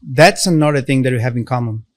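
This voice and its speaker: A man's voice: medium pitch, dry recording. Brazilian guy on a podcast, english not his first language, lots of uh and like, dry close sound. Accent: Brazilian Portuguese. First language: Portuguese. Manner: halting, many "uh" and "like" fillers, non-native grammar. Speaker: podcast guest. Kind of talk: casual interview conversation.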